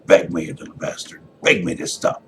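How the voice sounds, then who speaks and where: A man's voice: low voice, lively intonation, fairly quick, slight background noise. A man voicing a cruel, domineering father in a dramatic story reading, menacing and taunting. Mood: menacing rage.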